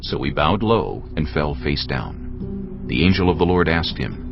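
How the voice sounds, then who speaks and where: A man with an extremely deep, gravelly voice narrates scripture in a dramatized, scripted style. His intonation moves widely. The audio is slightly dull, with soft music beneath. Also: big pitch swings, unhurried.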